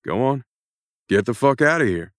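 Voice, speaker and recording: A man voicing a gruff male action hero who rants and swears angrily at his author. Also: mid-range voice, lively intonation, moderate pace, no room sound, studio quality, pitched above the performer's own voice, lightly acted. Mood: furious. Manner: ranting, swearing, scolding the author.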